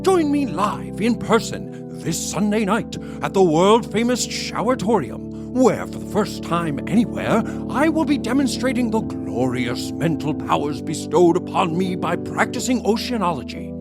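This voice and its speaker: Pompous, swooping radio huckster mystic. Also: male voice, sits high. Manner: grandiose, hucksterish salesman patter. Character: pompous self-styled mystic bosun hawking his book. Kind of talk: parody old-time radio advertisement.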